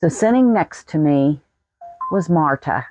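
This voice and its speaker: A woman's voice: low-pitched, lively intonation, unhurried, darkish tone, soft background music. An American woman in her sixties telling a personal story in a folksy, plain-spoken way. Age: 60s. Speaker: vlogger.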